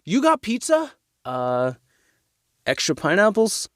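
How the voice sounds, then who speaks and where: A man's voice: high-pitched, wildly swooping pitch, slow pace, no echo, studio quality, heavily acted. A man playing an earnest, guilt-ridden teenage son in a naturalistic audio drama. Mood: warm.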